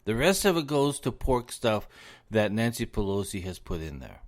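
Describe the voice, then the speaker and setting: A man hosting a solo podcast, losing his voice, talking in an indignant tone and repeating words as he rants. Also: medium pitch. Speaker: podcast host.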